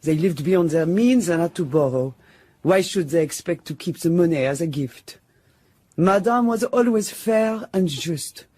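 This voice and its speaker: An actress playing a loyal, guarded maid in a radio drama, prim and firm, with a husky, mellow voice. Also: female voice, lowish voice, animated, unhurried, no room sound. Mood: defensive, unsympathetic. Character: loyal, guarded, matter-of-fact French maid, female.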